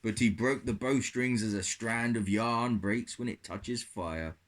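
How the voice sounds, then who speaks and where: A man reading scripture as a storyteller for children, in a deliberate, halting way. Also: mid-range voice. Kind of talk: slow scripted Bible reading.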